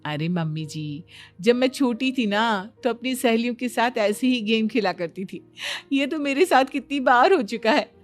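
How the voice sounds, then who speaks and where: Know-it-all young bahu for a cartoon story, female performer: higher voice, breezy confidence, explanatory. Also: animated, moderate pace, faint music behind, close to an everyday voice. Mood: self-assured. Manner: self-assured, explanatory. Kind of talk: Hindi cartoon story dialogue.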